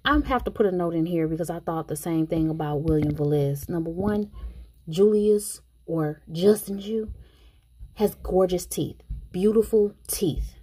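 A woman giving casual, frank commentary on a TV show, thinking out loud as she goes. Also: lowish voice, a little slow, breathy edge. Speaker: show reviewer.

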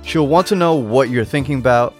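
A man's voice: mid-range voice, fairly quick, soft background music, voice pushed up, close to an everyday voice. A man voicing a sly old shopkeeper in a storybook tale, patient, persuasive and emphatic, savoring his words. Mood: coaxing. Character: sly old male shopkeeper selling potions, patient and coaxing. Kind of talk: storybook character voice in a read-aloud tale.

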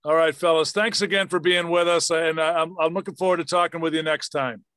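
A man's voice: on the high side, steady tone, brisk, fairly bright tone, clear, resonant tone, dry recording, clean studio sound. A man hosting a podcast, talking casually and thanking his guests appreciatively. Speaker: podcast host. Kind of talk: casual interview sign-off.

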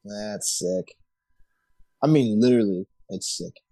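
A man's voice: lowish voice, a little slow, warm tone, no room sound. A man co-hosting a comedy podcast, tossing out short, slangy wisecracks in a laid-back way. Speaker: podcast co-host. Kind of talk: casual comedic banter.

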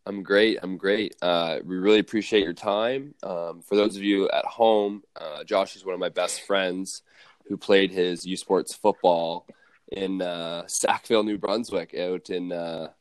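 Young male podcast host, deep voice, friendly and halting, dry close mic. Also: steady tone, clear, resonant tone, no room sound. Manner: friendly, halting with many fillers. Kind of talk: casual interview.

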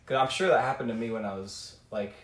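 A man's voice: medium pitch, fast talker, a bit bright, roomy sound, far from the mic, a little hiss or hum. A man from Reno, a podcast guest, talking candidly and thoughtfully in a laid-back way. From Reno, Colombian heritage. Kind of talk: casual podcast chat.

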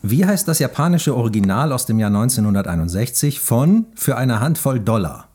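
A man's voice: mid-range voice, expressive, a little fast, a little mellow, breathy edge. A man hosting a casual film quiz on a podcast, asking questions and chatting. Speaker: podcast host and quizmaster.

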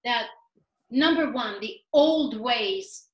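A woman's voice: on the high side, expressive, slow pace. A woman from Sweden, talking as a guest in a casual interview in an upbeat, earnest way. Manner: upbeat, earnest, spiritual phrasing. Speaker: guest, life coach. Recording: echoey room.

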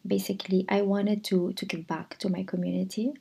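A woman from Lebanon, a podcast guest, speaking softly and hesitantly, pausing and restarting as she reflects. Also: mid-range voice, level tone, vocal fry, husky. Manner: soft-spoken, hesitant, reflective. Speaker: guest, charity founder. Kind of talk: podcast conversation.

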